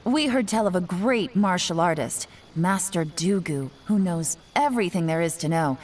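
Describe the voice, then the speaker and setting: A woman voicing a calm, polite young woman investigator in a video game who poses under cover and sometimes speaks in a mock-formal way. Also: fairly low pitch, moderate pace, a little hiss or hum, lightly acted.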